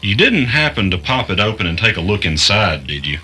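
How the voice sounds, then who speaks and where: A weary, low-key man in an audio drama. His voice sits fairly low and has a very bright edge, with lively swings in pitch. He speaks hesitantly and sparely, with music under him. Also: brisk, slightly rough, full character voice. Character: weary, low-key studio podcaster investigating an arcade cabinet. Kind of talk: naturalistic audio drama acting.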